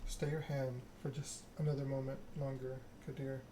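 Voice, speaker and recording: Male ASMR game reader, measured and hushed, very even intonation, noticeably noisy recording. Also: mid-range voice. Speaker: video game player reading the story aloud. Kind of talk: ASMR game read-along, narration with dialogue.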